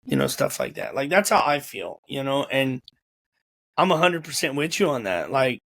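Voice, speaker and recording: Gravelly young man hosting casual chat. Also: medium pitch, sing-song, normal speed, fairly bright tone. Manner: informal, repeats words as he thinks. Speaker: host. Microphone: video call or home camera.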